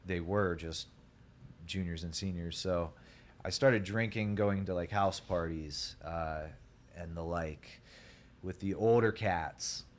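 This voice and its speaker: A young man from Ohio with a deep voice, a podcast guest telling his story in a rambling, laid-back way full of fillers. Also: slow pace. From Columbus, Ohio. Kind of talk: casual podcast chat.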